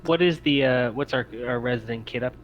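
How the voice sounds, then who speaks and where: A man narrating a tabletop roleplaying game as game master, halting often mid-sentence. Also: mid-range voice, lo-fi sound, slight background noise.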